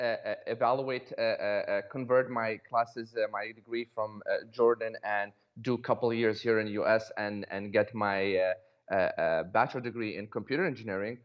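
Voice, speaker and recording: A man's voice: medium pitch, lo-fi sound. A man from Iraq, in his thirties, telling stories casually as a podcast guest, often repeating words as he searches for phrasing. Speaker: podcast guest, cosmetic dentist. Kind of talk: casual conversational storytelling.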